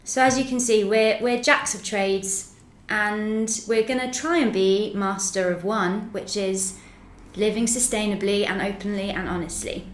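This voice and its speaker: A woman's voice: mid-range voice, a little slow. A woman co-hosting a casual podcast, chatty and joking as she talks in a small office. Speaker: podcast co-host. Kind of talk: casual chat. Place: small echoey office by a street. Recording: echoey room with faint street noise.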